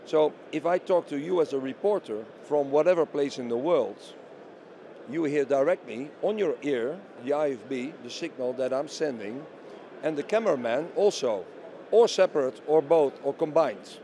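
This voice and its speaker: Man, slightly high pitch, mellow tone, explanatory product demo, asks and answers himself, faint exhibition hall noise. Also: animated, normal speed. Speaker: company representative being interviewed. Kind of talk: product demonstration interview. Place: busy trade show hall booth. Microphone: handheld interview microphone held by interviewer.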